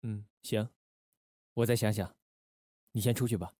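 A man playing a calm, shrewd secret-service station chief with understated authority in a radio drama. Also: lowish voice, unhurried, dry recording, clean studio sound. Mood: pensive, commanding.

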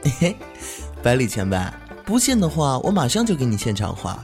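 A man's voice: medium pitch, wildly swooping pitch, a little fast, gravelly, darkish tone, faint music behind. A man voicing a cheeky, cheerful young talisman apprentice who talks in a playful, teasing way in an amateur audio drama. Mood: eager.

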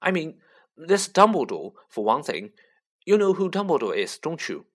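A woman's voice: deep voice, very animated, moderate pace, pitched above the performer's own voice, exaggerated voice. A woman voicing a young boy wizard in a fantasy audiobook, earnest and baffled, with a hesitant, questioning manner. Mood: puzzled. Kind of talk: children's fantasy audiobook character voice.

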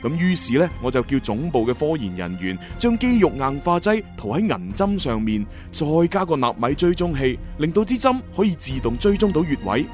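A man performs as a boastful young secret agent from the future in a Cantonese radio drama with a Hong Kong accent. His delivery is highly animated and storyteller-like, with clear music playing behind him. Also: a little fast, few pauses. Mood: boastful, excited. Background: background music.

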